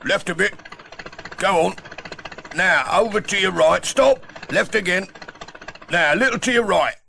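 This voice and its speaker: Man playing a fussy, officious village policeman, raised pitch. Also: unhurried, vocal fry, sharp tone, slight background noise, full character voice. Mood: commanding. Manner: officious, repetitive commands. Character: bossy, fussy village policeman taking charge. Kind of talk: children's storybook audiobook character voice.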